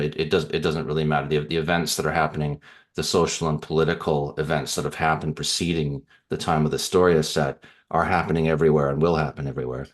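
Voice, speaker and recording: A middle-aged man from Canada, an author answering interview questions in a thoughtful, rambling way with fillers and restarts. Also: extremely low pitch. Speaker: author guest.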